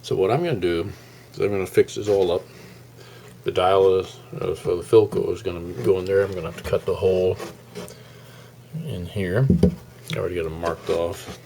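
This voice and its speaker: A man with a very low, gravelly voice talks through a hands-on project in a casual, unscripted way. He rambles and halts as he explains, in a folksy manner. Some faint noise sits behind his voice. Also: warm tone. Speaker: hobbyist radio restorer presenting his project.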